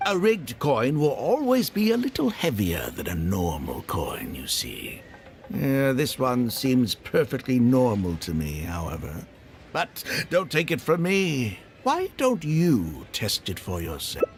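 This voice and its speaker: A man's voice: mid-range voice, wildly swooping pitch, a little slow, a little hiss or hum. Fussy worldly middle-aged man, swooping intonation. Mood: calm, explaining. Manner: wordy, cautious, avuncular lecturing. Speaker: voice actor in a game. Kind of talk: acted video game dialogue.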